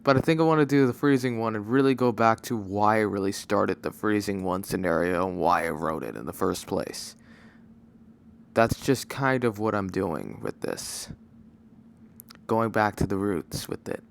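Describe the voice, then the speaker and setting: A man giving a casual, unscripted channel announcement as a video creator, halting and rambling as he goes, on a microphone with no pop filter. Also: lowish voice, lively intonation, vocal fry, a little hiss or hum. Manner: rambling, halting, often losing his train of thought. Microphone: microphone without pop filter.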